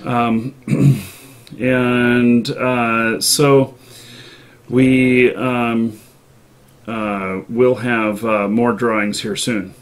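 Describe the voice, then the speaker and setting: Guy hosting a live call-in thing, polite, kinda hesitant, mid pitch. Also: steady tone, extremely slow. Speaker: live show host taking callers. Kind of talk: unscripted call-in show chat.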